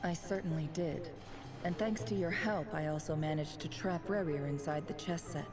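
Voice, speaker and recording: An actress playing a shrewd, composed woman scholar in a game cutscene, telling her story in a measured, deliberate way. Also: low-pitched, music in the background, lightly acted. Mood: composed, wry. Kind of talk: scripted game cutscene acting.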